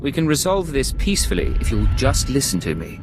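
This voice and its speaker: An actor playing a composed Imperial intelligence agent in a video game, placating but firm. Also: male voice, medium pitch, big pitch swings, brisk. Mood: calm, placating. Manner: controlled, placating. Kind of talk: dramatic video game acting. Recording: music behind the voice.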